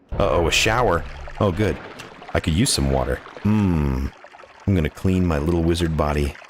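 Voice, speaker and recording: Male streamer, low voice, casual game commentary, effusive and goofy, often repeats phrases. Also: a little hiss or hum. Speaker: livestreamer playing a game and greeting chat.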